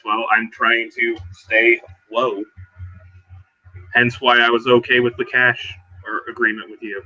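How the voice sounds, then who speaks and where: A man improvising a tabletop roleplaying scene, switching casually between narration and in-character talk in a halting way. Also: mid-range voice, bright, forceful tone. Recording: dull, muffled online voice-chat audio.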